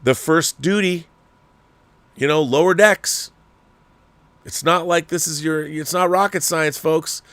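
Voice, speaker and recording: An older man who hosts his own channel, giving a rambling, opinionated rant in a casual, animated way. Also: on the high side, takes it slowly, pauses often, dry recording. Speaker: channel host. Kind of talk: ranting commentary.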